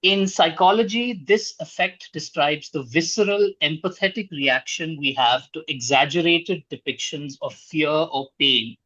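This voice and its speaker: Higher-voiced man hosting a quiz, roomy sound. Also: a little slow, hardly any pauses, bright, forceful tone, dull audio. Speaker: quiz master reading questions. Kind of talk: reading out quiz questions and scoring answers.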